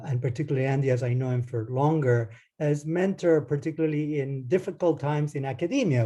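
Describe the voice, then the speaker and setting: Mellow-voiced Argentine-born man lecturing. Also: mid-range voice. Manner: relaxed, hesitant with frequent ums and restarts. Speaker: guest lecturer. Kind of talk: academic lecture over video call. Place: home or office, speaking into a computer. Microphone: video call.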